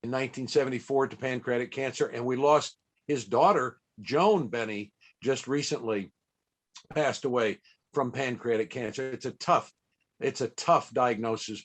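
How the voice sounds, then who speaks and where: A man speaks as a host on a casual video call, at a middling pitch. He reminisces in a chatty, folksy manner, often pausing as he pieces a story together. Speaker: interviewer and radio event host.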